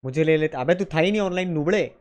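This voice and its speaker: A man's voice: on the high side, quick pace, a little mellow. A man with an Indian accent chatting casually and commentating as he streams a game live.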